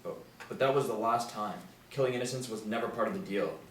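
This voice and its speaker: A man acts out a tense, angry middle-aged husband in a drama script reading. His voice is low, heard in a roomy space from a distant mic. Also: quick pace, slight background noise, lightly acted. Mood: angry, nervous. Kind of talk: script table read. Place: small room indoors.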